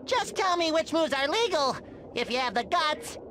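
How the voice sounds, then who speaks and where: A man's voice: very high-pitched, very animated, moderate pace. A man voicing a naive, eager sea sponge in a cartoon video game, earnest, childlike and exaggerated. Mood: cocky challenge. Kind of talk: animated video game voice acting. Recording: noticeable background noise.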